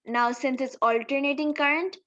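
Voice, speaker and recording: A woman's voice: sits high, fairly even intonation, moderate pace, fairly bright tone. A woman teaching in an explanatory tutorial style, going step by step and posing questions as she explains. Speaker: physics teacher. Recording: dull lo-fi microphone sound.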